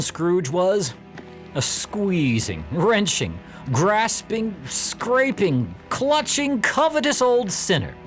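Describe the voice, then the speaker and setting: An actor playing a genial, conspiratorial storyteller, narrating an audio drama dramatically and intimately to the listener. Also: male voice, on the high side.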